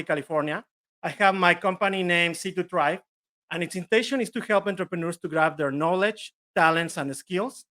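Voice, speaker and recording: A man's voice: a little high, bright, forceful tone, clear, resonant tone. A middle-aged man with a Mexican accent, a native Spanish speaker, giving a live online business training in an earnest way. He often corrects himself and goes through points like a list.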